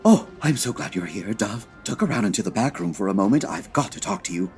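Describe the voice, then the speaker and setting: A man's voice: on the low side, expressive, fast talker, faint music behind, close to an everyday voice. Man acting a campy, theatrical store Jack Frost. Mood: anxious, dramatic. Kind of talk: comedy audio drama acting.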